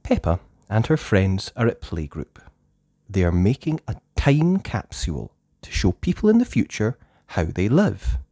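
A woman hosting a storytime, reading a children's book aloud with animated expression. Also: rumbling low, no echo, clean studio sound. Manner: slow, animated delivery for small children. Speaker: storytime host and narrator.

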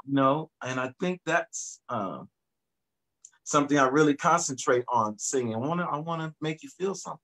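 A middle-aged man, a singer guest, talking in a relaxed, reflective way about his background on a video call from his home studio. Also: fairly high pitch, normal speed. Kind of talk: casual video-call interview.

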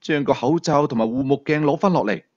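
A man's voice: on the high side, brisk, no room sound, highs cut off, close to an everyday voice. Man voicing a probing, commanding Cantonese police chief. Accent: Hong Kong Cantonese. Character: sharp, probing, commanding police team leader.

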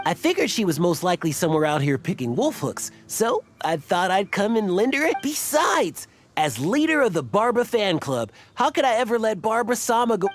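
A man voicing an earnest, self-important young fan club leader in a video game, stammering and fussy. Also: sits high. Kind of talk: video game character acting.

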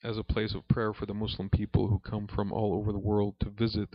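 A man reading aloud from a spiritual book as a lesson, in a measured, reverent manner. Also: lowish voice, completely flat delivery. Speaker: reader and religious teacher. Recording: dull, lo-fi recording in an echoey room.

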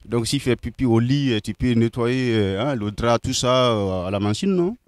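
A man's voice: medium pitch, a little mellow. A young man runs a playful street interview, firing off teasing questions one after another. His voice sits in an ordinary middle range. He speaks into a handheld mic outdoors in a city square. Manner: teasing, asks quick-fire questions.

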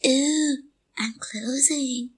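A taunting female ghost with an Indian accent , acted by a woman for a radio play. The voice is high and husky, drawing words out slowly with theatrical, gleeful mockery. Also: exaggerated voice. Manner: mocking, theatrical, drawn-out delivery.

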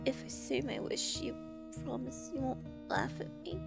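A woman's voice: sits high, lively intonation, moderate pace, gravelly, over music, exaggerated voice. A woman voicing a shy, wistful child in a fan comic dub.

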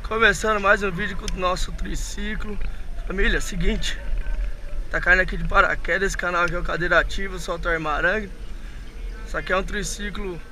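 Hoarse brazilian guy rambling on camera while he rides, kinda high voice, loud wind and street noise. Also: fairly even intonation, brisk. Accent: Brazilian Portuguese. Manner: chatty, rambling, addresses viewers as family. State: hoarse from a cold. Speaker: vlogger. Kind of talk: casual vlog talk while riding. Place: outdoors on city streets, riding an electric tricycle. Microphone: action camera on the handlebars.

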